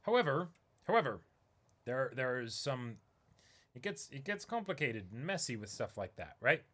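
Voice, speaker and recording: A man with a forceful, bright voice at an average pitch discusses things casually as a stream co-host. He is emphatic and opinionated, often repeating a phrase to drive it home. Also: sing-song, moderate pace. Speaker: co-host of a manga reading stream.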